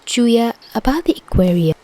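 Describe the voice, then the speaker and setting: A woman plays a young man in an audio story, whining and teasing. The voice is soft-edged and mellow, with a complaining manner. Music sits faintly behind it. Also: fairly high pitch, animated, normal speed, voice pushed up. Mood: hesitant protest.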